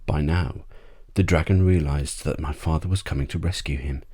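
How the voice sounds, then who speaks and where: Male bedtime-story reader for ASMR audiobook: deep, dark-toned, measured storytelling. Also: steady tone.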